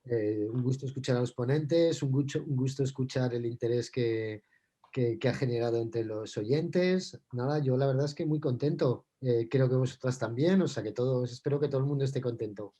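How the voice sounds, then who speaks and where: Man, mellow tone, medium pitch, halting, repeats phrases, casual. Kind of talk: casual spoken thanks.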